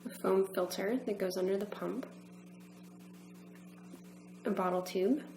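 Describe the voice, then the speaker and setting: A woman's voice: mid-range voice, steady tone, measured pace, darkish tone. Woman instructor in an echoey room. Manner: methodical, step-by-step. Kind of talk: instructional demonstration narration. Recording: roomy echo, faint background hum.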